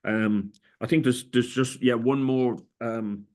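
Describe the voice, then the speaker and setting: A man's voice: mid-range voice, fairly even intonation, slow, darkish tone. A middle-aged Irish man, a festival director, answering interview questions in a hesitant way, with many fillers and restarts. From Ireland.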